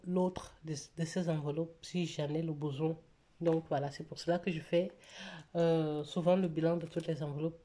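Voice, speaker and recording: A woman chats through her weekly budget in a mellow, somewhat low voice. She talks casually, hesitating often with fillers and restarts, and sounds a little stuffy from a cold. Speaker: budget vlogger. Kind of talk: casual budget vlog to camera.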